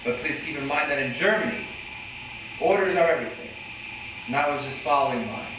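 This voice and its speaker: Male actor as unrepentant ex-Nazi commandant, forties, formal, measured, stage reading, heavy echo, noisy distant recording. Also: fairly high pitch, natural voice. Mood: matter-of-fact. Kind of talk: staged reading of a play, testimony. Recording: very echoey hall, far mic, loud noise.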